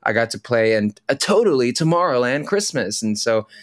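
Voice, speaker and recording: A man's voice: medium pitch, sing-song, moderate pace, no echo, studio quality. A man from the Philippines whose first language is Tagalog, chatting as a guest on an interview podcast, friendly and full of restarts. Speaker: podcast guest, Broadway performer. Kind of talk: casual interview.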